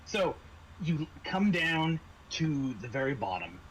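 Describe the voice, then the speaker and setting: A man narrating a tabletop role-playing game as game master, halting and searching for words. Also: fairly high pitch, big pitch swings, a little hiss or hum, poor audio.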